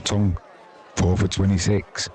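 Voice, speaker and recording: A man's voice: deep voice, little pitch movement, a little slow, a little mellow. A man with an English accent hosting a live cricket stream, chatting informally and self-deprecatingly to viewers in colloquial language, with crowd noise behind him. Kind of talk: informal sports commentary and chat to viewers.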